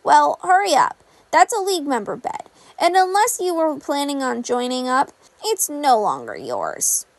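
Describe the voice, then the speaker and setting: A woman's voice: high-pitched, sing-song, measured pace, no echo, full character voice. A woman voicing a grudging young male villain in a story, with a curt, dismissive drawl. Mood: impatient.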